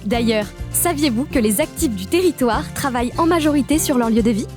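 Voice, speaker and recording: A woman from a regional nature park staff, giving upbeat scripted narration for an animated explainer. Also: on the high side, over music. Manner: upbeat, informative, lists facts briskly.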